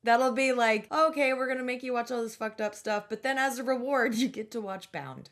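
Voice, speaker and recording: Lady podcast host just chatting away, kinda high voice. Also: fairly quick, a bit bright. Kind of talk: casual chat about horror films.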